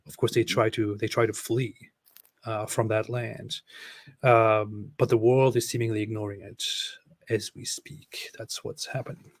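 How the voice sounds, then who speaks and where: A man's voice: fairly low pitch, little pitch movement, unhurried, no echo. Sarcastic man hosting a livestream, dry sound. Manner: sarcastic, rambling with fillers and restarts. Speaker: livestream host reading super chats. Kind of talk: casual livestream chat.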